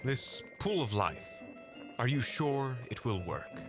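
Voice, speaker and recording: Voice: medium pitch, very animated, slow, music in the background. An actor playing a formal, demanding adventurer in a fantasy audio roleplay, with clipped, no-nonsense delivery. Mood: eager, businesslike.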